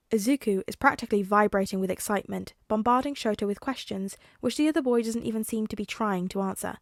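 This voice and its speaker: A woman reading fan fiction aloud in a gentle, even storytelling manner. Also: medium pitch, no room sound, studio quality. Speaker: audiobook reader.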